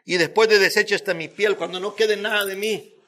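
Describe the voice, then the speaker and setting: A male preacher with a notably high-pitched voice delivers a sermon. He speaks emphatically, restating phrases and building his points through repetition and rhetorical questions. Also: steady tone, fairly quick, bright, forceful tone.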